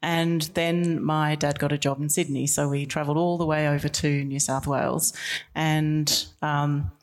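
A middle-aged woman with a notably low, deep-set voice, giving reflective answers as a radio guest. She speaks thoughtfully, pausing often with fillers and restarting sentences as she gathers her ideas. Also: level tone. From England. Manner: thoughtful, with frequent ums and restarts. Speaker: guest on a school radio show. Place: school radio studio.

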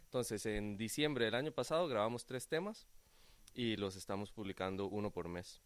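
A man's voice: on the low side, lively intonation, normal speed, clean tone, dry recording. A man with a Costa Rican Spanish accent, chatting enthusiastically as a musician in an interview, repeating phrases for emphasis. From Costa Rica. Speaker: band drummer interviewed. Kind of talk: casual interview chat.

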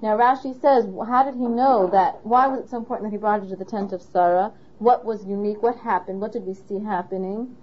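A woman's voice: mid-range voice, fairly even intonation, fairly quick, hardly any pauses, breathy edge. Woman, Torah teacher, patient questioning delivery, dull recording. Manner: asks the listener questions, patient. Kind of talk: explaining a Torah lesson.

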